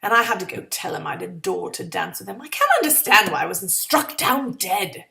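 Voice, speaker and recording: Woman acting out a sardonic, melodramatic young woman, wildly animated. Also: medium pitch, normal speed, gravelly, pitched below the performer's own voice, heavily acted. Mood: bitter, self-pitying. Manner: sarcastic, melodramatic. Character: sardonic, exasperated 1920s young woman's inner monologue at a dance. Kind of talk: dramatic first-person short story reading.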